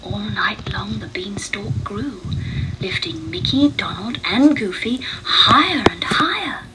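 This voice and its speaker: Female storybook narrator, unhurried and expressive, mid pitch, audible background noise. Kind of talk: read-along storybook narration.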